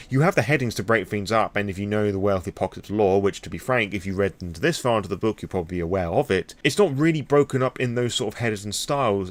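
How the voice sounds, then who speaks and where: A British man with a fairly low-pitched voice speaks in an unscripted vlog style. He rambles through his opinions frankly, in loose, informal and sometimes sweary phrasing. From Britain. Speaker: vlogger on tabletop roleplaying lore. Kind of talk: impromptu opinionated vlog monologue.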